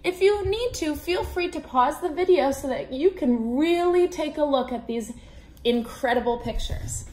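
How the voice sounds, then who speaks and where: A woman in her twenties reading a children's picture book aloud expressively, in a deliberate, animated way with questions for young listeners. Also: high voice. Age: 20s. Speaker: read-aloud storyteller for children. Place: small indoor room at a table. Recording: echoey room, background noise with clicks.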